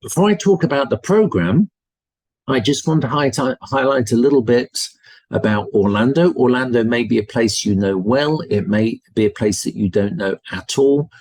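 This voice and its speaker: A man's voice: medium pitch, expressive, moderate pace, darkish tone, dry recording. A man with a British English accent, a university representative giving a polite, enthusiastic webinar presentation over a video call. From United Kingdom.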